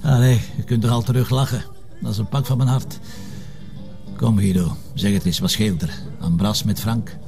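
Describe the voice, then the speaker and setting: A man's voice: lowish voice, fairly even intonation, moderate pace, creaky, over music. A man acting a grumbling, sarcastic middle-aged police commissioner in a TV crime drama, with a colloquial, teasing Flemish way of talking. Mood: teasing, prodding.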